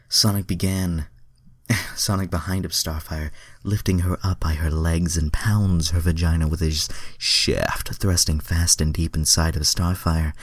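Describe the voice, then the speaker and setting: A man with an extremely low voice reads fan fiction aloud. He narrates dramatically and slips into casual asides, in a dry recording with very little room sound. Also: no echo.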